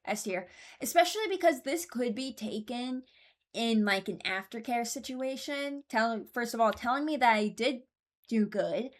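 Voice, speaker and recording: A woman's voice: a little high, animated, normal speed. A woman giving casual, rambling commentary as a host, thinking aloud as she goes. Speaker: host ranking game voice lines.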